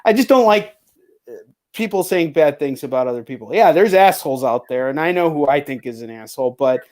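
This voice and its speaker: A man's voice: a little high, lively intonation, brisk, darkish tone. A man hosting a casual livestream chat, talking in a rambling, slangy way and swearing freely. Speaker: livestream host and indie comic creator.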